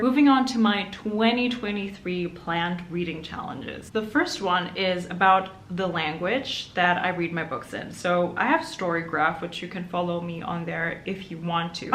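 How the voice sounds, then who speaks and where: Chatty German-native woman, low-pitched, echoey room. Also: fairly bright tone, a little hiss or hum. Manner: chatty, upbeat, with light laughs. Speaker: book vlogger. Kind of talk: chatty reading vlog.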